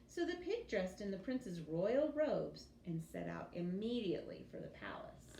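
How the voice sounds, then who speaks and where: A woman reading a children's story aloud in an expressive teacher's storytelling style. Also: mid-range voice, measured pace, creaky. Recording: roomy echo with faint background noise.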